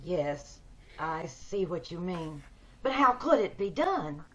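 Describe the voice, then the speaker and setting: A woman's voice: on the low side, big pitch swings, takes it slowly, heavily acted. A woman playing a homely, probing woman who yearns for beauty, questioning insistently in old-time radio drama style, over dull video-call audio.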